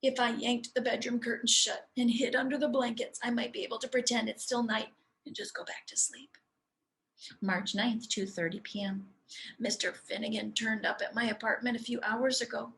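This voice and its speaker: A woman teacher reading a children's storybook aloud expressively, speaking to young listeners in an animated, demonstrative way. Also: a little high, normal speed, vocal fry, poor audio.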